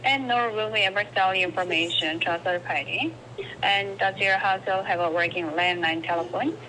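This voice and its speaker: A woman with a bright, forward voice conducts a phone survey, politely persisting with scripted questions and hesitant ad-libs. She comes through a phone's speaker, with some background noise. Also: mid-range voice, brisk. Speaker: telephone survey interviewer. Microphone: phone call picked up by a camera in a garage. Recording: noisy phone call over speakerphone.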